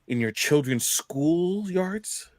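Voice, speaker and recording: Guy doing a preachy alarmist senator for radio play, slow rhetorical speeches, close dry sound. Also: on the high side, lively intonation, no echo. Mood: grave alarm. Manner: emphatic, rhetorical, politician's speechifying. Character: alarmist, indignant male American senator stirring up fear.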